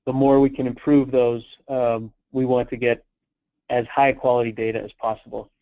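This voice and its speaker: A man presenting on a conference call, answering questions in an unscripted, halting, explanatory way. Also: medium pitch, little pitch movement, mellow. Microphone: telephone conference call. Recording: dull, lo-fi conference call line.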